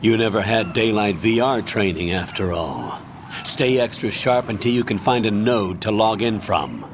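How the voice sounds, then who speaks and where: An actor playing a stern, authoritative older military colonel in a video game, commanding, measured and formal as he gives a mission briefing. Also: lowish voice, background noise, close to an everyday voice. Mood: briefing, businesslike.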